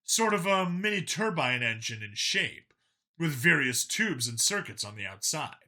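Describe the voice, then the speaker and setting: Guy reading an audiobook story, somewhat high voice, super crisp and bright, animated. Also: moderate pace. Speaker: audiobook narrator. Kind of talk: dramatic scripted story narration.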